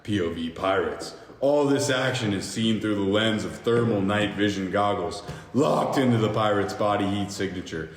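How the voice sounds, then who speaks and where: A man hosting a podcast, reading screenplay stage directions aloud in a halting, jokey amateur way with stumbles. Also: fairly low pitch, expressive. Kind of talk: amateur screenplay table read. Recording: echoey reverberant room with faint hiss.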